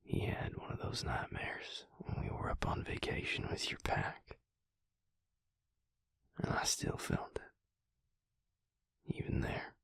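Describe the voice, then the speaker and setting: An actor playing a protective, earnest vampire lover in an intimate audio roleplay, speaking in a breathy, husky whisper with a soothing, folksy drawl. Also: male voice, slow, pauses often, fairly bright tone, dry recording. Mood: somber, confiding.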